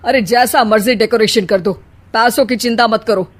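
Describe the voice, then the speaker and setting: A woman's voice: on the high side, steady tone, normal speed, close to an everyday voice. Bitter middle-aged father, scolding, storybook read by a woman.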